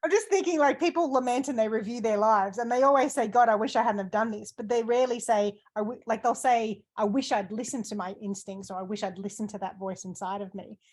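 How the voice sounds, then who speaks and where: A woman's voice: a little high, a little fast, hardly any pauses. A woman asking questions in a casual conversation, speaking in a heartfelt, thoughtful way. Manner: warm, emotional, thoughtful. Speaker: interviewer. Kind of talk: casual chat.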